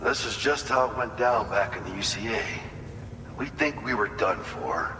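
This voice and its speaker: A man's voice: on the low side, animated, normal speed, echoey room, big-room sound, background noise, close to an everyday voice. An actor playing an earnest, wistful male colonist in a video game, talking in a sincere, conversational and folksy way. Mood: wistful, tender. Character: earnest, wistful male colonist grieving his lost brother. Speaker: game character briefing the player. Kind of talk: cinematic video game dialogue.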